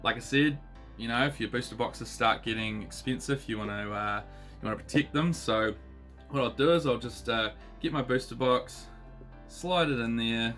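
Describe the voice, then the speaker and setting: A man in his thirties with a New Zealand accent, still a bit husky from illness, chatting casually as he unboxes trading cards with lots of ums and uhs. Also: mid-range voice, normal speed, fairly bright tone, faint music behind. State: husky, recovering voice. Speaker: card collecting channel host.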